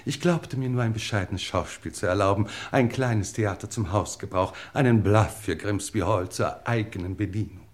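A male actor plays a genteel English lord with a lowish voice. He speaks formally and deliberately, with wry, world-weary grandeur, in the manner of 1950s radio drama. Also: natural voice. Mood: reflective, grand. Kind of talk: 1950s German radio play acting. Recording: 1950s radio play recording.

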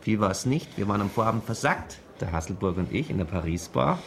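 A man's voice: fairly low pitch, lively intonation, brisk, creaky, fairly bright tone, a little hiss or hum. A man playing a pompous, embarrassed police chief in a radio crime comedy, speaking formally and evasively. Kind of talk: German radio play dialogue.

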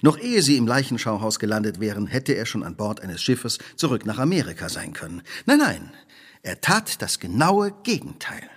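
An actor playing a shrewd, self-assured detective who speaks precisely and probingly in a radio play. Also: male voice, medium pitch, big pitch swings, moderate pace, fairly bright tone, husky, heavily acted. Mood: incisive, deducing. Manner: precise, probing, imperious deduction. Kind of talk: dramatic radio play dialogue.

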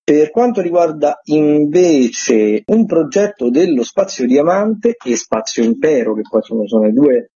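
Husky, mellow man, hesitant delivery. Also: fairly high pitch, very animated, measured pace, hardly any pauses, dry recording, lo-fi sound. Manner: hesitant, often repeating phrases. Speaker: theatre critic giving a weekly events agenda. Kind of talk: informal spoken radio rundown.

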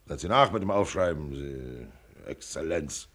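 A man's voice: very deep voice, expressive, unhurried, fairly bright tone, full character voice. An actor playing a blunt, shrewd older millionaire businessman in a radio play, with brusque, clipped, commanding delivery. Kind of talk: radio drama acting.

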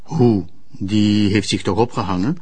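An actor playing a cautious Flemish public prosecutor in a drama, questioning and hesitant. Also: male voice, on the low side, unhurried, darkish tone. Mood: doubting, hesitant. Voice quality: husky. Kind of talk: drama acting.

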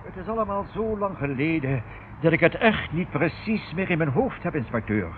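A man's voice: fairly high pitch, big pitch swings, normal speed. An actor in a radio drama playing a fussy, formal male solicitor with a precise, emphatic manner. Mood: fussy, explaining. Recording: tinny, noisy old radio recording.

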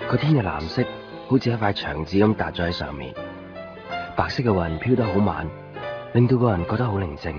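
A tender young man with a Hong Kong Cantonese accent. His lowish voice is gentle and reflective, in radio drama style. Music plays clearly behind, and the sound is slightly dull. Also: animated, a little fast, long pauses. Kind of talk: 1990s radio drama narration and dialogue.

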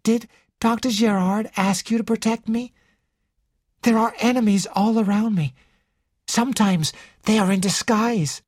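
A woman's voice: on the high side, a little slow, a little mellow, no room sound, clean studio sound, pitched above the performer's own voice. A woman narrator voicing an intense, fearful and proud young woman in an audiobook. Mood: fearful, secretive. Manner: intense, indignant, secretive. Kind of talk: audiobook character dialogue.

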